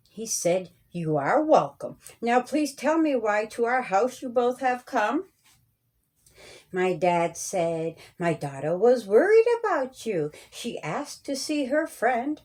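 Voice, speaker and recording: Slow, higher-voiced woman reading a rhyming story. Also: darkish tone. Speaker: author reading her own story. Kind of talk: children's story read aloud in rhyming verse.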